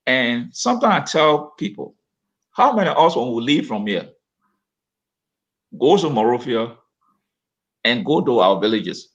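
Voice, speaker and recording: A man's voice: medium pitch, very animated, unhurried, pauses often, muffled recording. A man on a radio panel, asking pointed questions.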